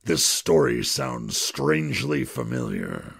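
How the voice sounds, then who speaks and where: A man acting a gravelly, gruff crook. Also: very deep voice, wildly swooping pitch, slow pace, fairly bright tone, exaggerated voice. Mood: wry storytelling. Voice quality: gravelly, husky. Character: gruff, sceptical male crook recounting events. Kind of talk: audio drama narration.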